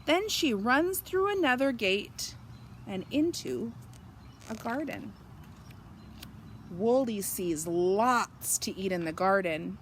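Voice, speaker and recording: A woman reading a storybook aloud for young children, pausing to explain and ask them simple questions. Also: medium pitch, animated, slight background noise.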